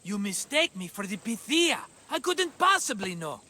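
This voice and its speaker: Jovial wheedling merchant, video game cutscene, piercingly bright voice, over-eager, faint background noise. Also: male voice, sits high, sing-song, normal speed, heavily acted. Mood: chiding, excusing. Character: jovial, scheming male merchant and hapless vineyard owner.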